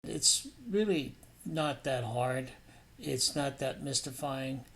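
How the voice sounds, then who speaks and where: A man's voice: medium pitch, slow pace, a bit bright. A man narrating a painting demonstration as an instructor, in an informal, explanatory and somewhat hesitant way.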